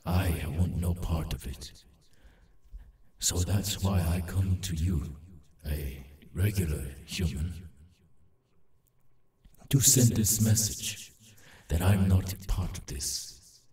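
A man voicing a halting, urgent demon in an ASMR roleplay, repeating himself in broken phrases and insisting he is harmless. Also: low voice, dark tone, reverberant, far from the mic, a little hiss or hum. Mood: grave, urgent.

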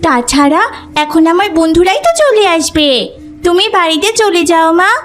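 Very high-pitched little tiger cub girl. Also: moderate pace. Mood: innocent, playful. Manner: childlike, pleading. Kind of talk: cartoon voice acting.

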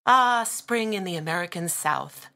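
A woman narrating an audiobook in a dramatic, deliberate style with weighted pauses and emphasis. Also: on the low side, lively intonation, creaky, sharp tone.

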